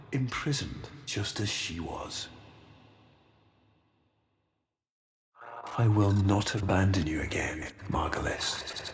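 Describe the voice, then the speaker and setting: A man playing a cruel, haughty aristocratic Executor villain in a video game, with theatrical, measured, formal diction and silky menace. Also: low-pitched, a bit bright, exaggerated voice. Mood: bitter, menacing. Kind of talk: dramatic video game character acting. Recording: long echoing hall reverb, some noise.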